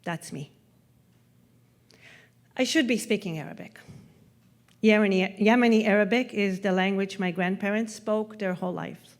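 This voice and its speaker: A woman from Israel whose first language is Hebrew, giving a prepared festival talk in a reflective, measured way, letting sentence endings trail off softly. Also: medium pitch. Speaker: festival speaker. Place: festival stage.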